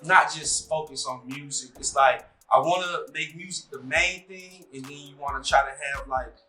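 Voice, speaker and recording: A man in his thirties, a musician being interviewed, talking in a relaxed, rambling way full of "like" and "you know". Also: fairly high pitch, moderate pace. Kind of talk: casual interview chat. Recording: echoey room with faint music.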